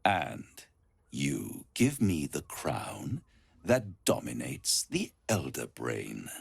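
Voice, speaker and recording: A deep, theatrical, silky devil. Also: male voice, vocal fry, husky, no room sound, full character voice. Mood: covetous, grandiose. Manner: silky, grandiose, savours words with dramatic pauses. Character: suave, theatrical male devil, ancient, scheming dealmaker. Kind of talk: theatrical video game villain monologue.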